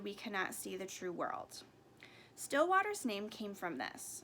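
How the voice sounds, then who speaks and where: A woman reading a storybook aloud in an expressive narrating style with careful phrasing. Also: on the high side, a little hiss or hum. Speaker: storybook reader.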